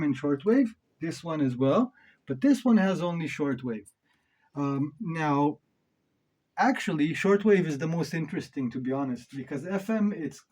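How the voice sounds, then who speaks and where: A man's voice: a little high, darkish tone, poor audio. A man giving a casual, off-the-cuff gear review as a presenter, speaking in an informal, opinionated way with some mild swearing. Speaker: presenter reviewing synth modules.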